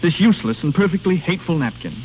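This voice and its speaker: An actor playing an earnest, clumsy American waiter in a vintage radio comedy, pleading with florid, servile politeness. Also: male voice, a little high, animated, racing, lightly acted. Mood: nervous, obliging. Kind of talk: 1930s-40s radio comedy acting. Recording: dull, noisy old radio broadcast.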